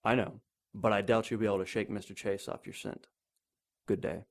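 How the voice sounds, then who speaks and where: A man's voice: lowish voice, flat delivery, fairly quick, natural voice. A man playing a shady, rich older businessman, curt and imperious, in a 1930s noir audio drama. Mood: amused.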